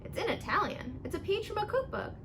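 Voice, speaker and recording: A woman's voice: extremely high pitch, sing-song, fast talker, background noise, pitched above the performer's own voice, heavily acted. Woman reading as a puzzled, observant shopkeeper man. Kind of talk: storybook character read aloud.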